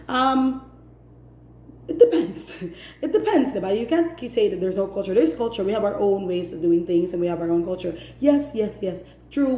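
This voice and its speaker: A fed-up woman rants to camera, stressing and repeating her points. Her voice is mellow and sits in a middle range. The room is roomy and echoey, with a faint hiss underneath. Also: medium pitch. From Philippines, Cebu City. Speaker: vlogger. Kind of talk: direct-to-camera opinion rant.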